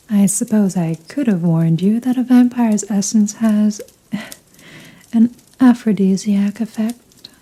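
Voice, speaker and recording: Woman doing a rich vampire lady for asmr roleplay, super mellow and slow, teasing, possessive, kinda formal and seductive. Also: medium pitch, poor audio. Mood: seductive, commanding. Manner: slow, teasing, formal, softly commanding, breathy murmurs. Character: seductive, possessive female vampire, wealthy and dominant. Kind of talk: ASMR roleplay, scripted intimate monologue.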